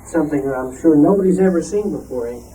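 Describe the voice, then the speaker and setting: A man's voice: on the high side, expressive, fast, mellow. A man giving a casual show-and-tell talk, storytelling with repeated and rephrased words. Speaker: club member presenting a collectible. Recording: distant room mic, faint noise.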